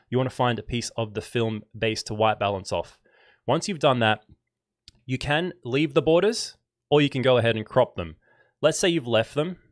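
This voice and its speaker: A man's voice: medium pitch, dry recording. A man in his thirties with a slightly nasal voice, casually explaining a demo as host of a live tutorial stream, speaking close into a dynamic microphone. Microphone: dynamic microphone on a stand.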